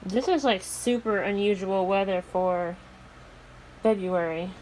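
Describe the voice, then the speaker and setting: A woman's voice: mid-range voice, unhurried, darkish tone, a little hiss or hum, low-quality recording. A woman talking casually as she narrates an amateur weather vlog.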